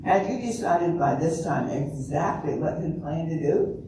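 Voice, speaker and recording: An older woman in an amateur readers' theater playing a patient, probing lawyer who questions a client in a measured, halting way. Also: sits low. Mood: prompting. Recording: distant, very echoey, noisy room.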